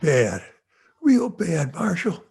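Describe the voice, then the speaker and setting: An actor playing a stubborn, gravely wounded young gunman in a radio western, weak and in pain. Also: fairly high pitch, huge pitch swings, takes it slowly, a little mellow, slight background noise, exaggerated voice. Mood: pained, guarded. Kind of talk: old-time radio western acting.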